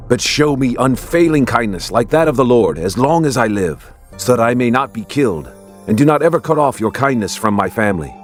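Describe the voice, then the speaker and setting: An actor playing an earnest, loyal young prince who speaks with solemn emphasis in a dramatized scripture production. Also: male voice, medium pitch, natural voice. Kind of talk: dramatized scripture audio acting.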